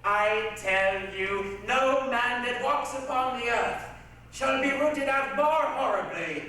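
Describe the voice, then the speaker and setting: Far from the microphone in a very reverberant hall, a man plays an old blind seer of stage tragedy. He is bitter and defiant, pronouncing doom in a high, bright, weighty voice. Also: exaggerated voice. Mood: accusing, ominous. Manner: declamatory, deliberate, weighty. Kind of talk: readers' theatre tragedy acting. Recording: echoey hall from far off, faint noise.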